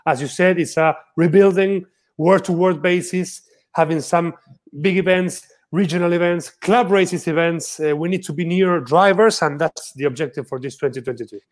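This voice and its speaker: A man's voice: a little high, moderate pace. Hesitant man speaking English. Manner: hesitant, slightly broken English with fillers. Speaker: guest.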